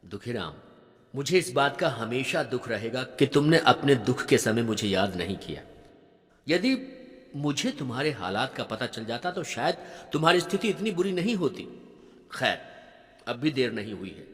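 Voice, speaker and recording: A man's voice: mid-range voice, sing-song, normal speed, lightly acted. A man playing a dignified, kindly rich merchant who speaks earnestly in an acted audio storybook. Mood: concerned, kindly. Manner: earnest, magnanimous. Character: kind, dignified rich merchant, loyal friend. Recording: long hall reverb.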